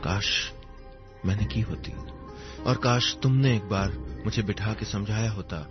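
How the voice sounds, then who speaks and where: A man narrating a first-person story in a wistful, reflective manner, with poetic phrasing and pauses. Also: fairly low pitch. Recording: dull lo-fi audio, music underneath. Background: music.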